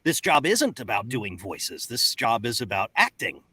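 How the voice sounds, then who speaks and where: Man, voice-actor panelist, explanatory and emphatic, very animated pitch, dry close-miked booth sound. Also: normal speed, husky, no echo. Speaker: voice actor and coach. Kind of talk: panel discussion. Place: home recording booth. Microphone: close studio microphone.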